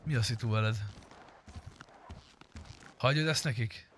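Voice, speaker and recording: A man's voice: medium pitch, fairly bright tone, a little hiss or hum. A man in casual gaming chat, talking bluntly and sarcastically and swearing freely. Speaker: gamer streaming a roleplay game.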